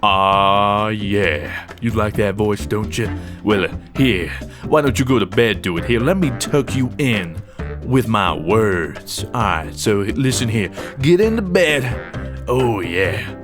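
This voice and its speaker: A man putting on a mock soothing bedtime voice, low and slow with a breathy croon. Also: expressive, music in the background.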